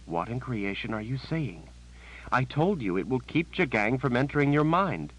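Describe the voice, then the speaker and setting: A man voicing an ancient, resolute sorceress sister who speaks urgently and often hushed. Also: medium pitch, very animated, normal speed, slightly rough, voice pushed up, poor audio. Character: resolute sorceress sister, 156 years old.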